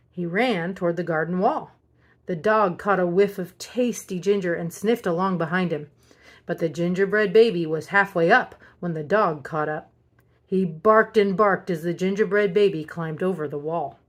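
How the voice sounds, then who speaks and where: A woman reading a picture book aloud as a storyteller, in an expressive children's narration style. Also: fairly low pitch. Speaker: storybook reader.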